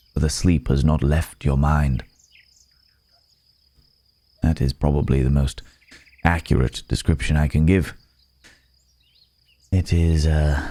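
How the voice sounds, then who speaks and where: A man playing a weary, remorseful werewolf in human form, speaking haltingly and gravely in an intimate ASMR roleplay. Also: very deep voice, dark tone, no echo.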